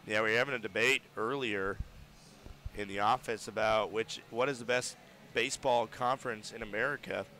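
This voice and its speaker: Bright-voiced young baseball radio announcer. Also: male voice, fairly low pitch, normal speed. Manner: steady, builds up on hits. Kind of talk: live baseball play-by-play commentary. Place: ballpark broadcast booth.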